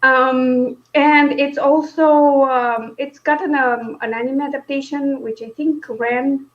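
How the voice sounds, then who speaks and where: A woman's voice: high-pitched, steady tone, slow. A woman chatting casually about books as a stream co-host, informal and hesitant, with a wry, self-deprecating manner. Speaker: co-host of a book discussion stream. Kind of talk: casual unscripted book chat. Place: indoors on a live video stream. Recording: dull lo-fi online call audio.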